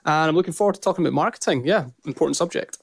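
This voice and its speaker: A man's voice: on the high side, rapid-fire, creaky, slightly rough, no room sound, studio quality. A Scottish man in his thirties with a Fife accent, talking energetically as an interview guest from his office. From Scotland. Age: 30s. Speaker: guest, business founder. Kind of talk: interview conversation. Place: home office.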